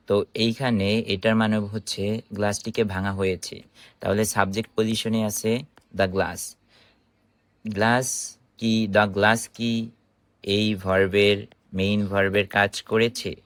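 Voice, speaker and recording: Male online tutor, Bangladeshi accent, lowish pitch, dry close sound, explains by posing questions. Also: level tone, slow pace, long pauses, a bit bright, no echo. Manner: explanatory, instructive, asking and answering own questions. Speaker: English grammar teacher. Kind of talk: informal online grammar lesson.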